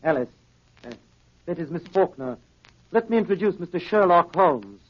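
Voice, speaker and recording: An actor playing a pompous, sardonic gentleman villain in 1930s radio style, scornful and combative. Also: high voice, expressive, moderate pace, slight background noise. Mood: smooth, sardonic. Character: blustering, sardonic gentleman villain, master of house. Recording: dull 1938 radio broadcast recording.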